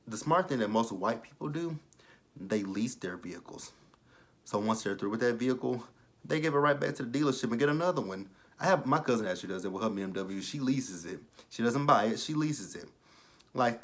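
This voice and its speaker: A young man giving a casual, blunt and profane recap of a reality show, rambling into preachy asides. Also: medium pitch. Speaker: YouTube reality-TV reviewer.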